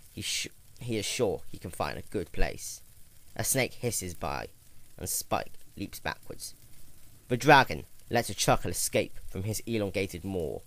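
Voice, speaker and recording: A man giving a dramatic reading of a fan story, expressive, with occasional slips and restarts. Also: deep voice, vocal fry. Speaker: reader.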